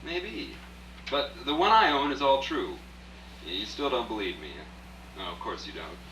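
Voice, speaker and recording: In an echoey room, an actor plays a wry male writer telling his tale in a radio play. The voice is sharp and very forceful, confiding yet theatrical, and sits a little far from the mic. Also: mid-range voice, expressive, unhurried. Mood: confiding, challenging. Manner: confiding, rhetorical, addressing the listener directly. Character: wry out-of-work male writer turned rich storyteller. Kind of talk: live radio play, first-person dramatic narration. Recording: echoey distant live rehearsal, faint hum.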